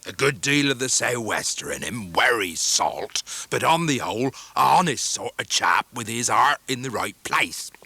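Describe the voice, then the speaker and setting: A man voicing a bluff, warm-hearted old fisherman in an audiobook, with a husky, halting, heartfelt delivery. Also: medium pitch, very animated, no room sound, heavily acted. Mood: fond, proud.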